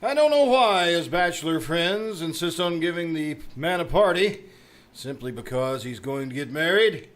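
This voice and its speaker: A man's voice: on the high side, expressive, normal speed, a bit bright. A man playing a pompous, blustery middle-aged principal, wordy and grand, in old-time radio comedy style. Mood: grumbling. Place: small town theater stage. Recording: live stage recording with background noise.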